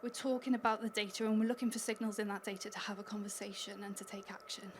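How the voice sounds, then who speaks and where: A woman's voice: mid-range voice, level tone, fast talker, slight background noise. A Welsh woman speaking at a conference, chatty and upbeat, laughing lightly between points. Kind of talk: conference talk. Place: conference hall stage.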